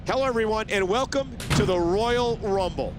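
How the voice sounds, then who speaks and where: Excitable wrestling announcer guy voice actor in a game, high pitched, noisy background. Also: normal speed, close to an everyday voice. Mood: announcing. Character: earnest, excitable male wrestling play-by-play commentator. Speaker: play-by-play commentator. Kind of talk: sports commentary in a video game.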